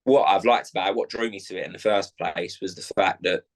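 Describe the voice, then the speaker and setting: A man's voice: sits low, fairly even intonation, fairly quick, gravelly. A man in his thirties from South London, with a South London accent, talking casually and rambling with fillers as an interview guest. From South London, England. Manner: chatty, rambling with many fillers and restarts. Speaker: club owner, guest.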